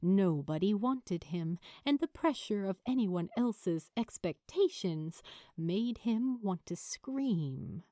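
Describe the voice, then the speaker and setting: A woman reading a story aloud as an expressive audiobook narrator, with dramatic, weighted pauses. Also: lowish voice, no room sound, studio quality. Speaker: fan fiction narrator.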